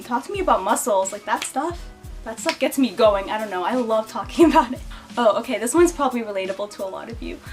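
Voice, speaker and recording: A young woman chats to her camera about her childhood, laughing easily and slipping into playful voices for the people she quotes. Her voice sits a little high. Faint music plays underneath. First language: English. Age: young adult. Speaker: beauty and lifestyle vlogger. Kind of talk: casual storytelling to camera.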